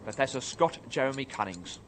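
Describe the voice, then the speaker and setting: An actor playing a middle-aged, defensive professor confined to an asylum, insistent, bitter and articulate, in a radio drama. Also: male voice, mid-range voice, animated, fairly quick, very noisy, lightly acted.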